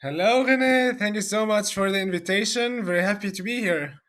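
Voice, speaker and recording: A man from Syria, a guest expert in a video interview, talking in a halting way with many fillers and repeated words. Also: sits high, fairly bright tone.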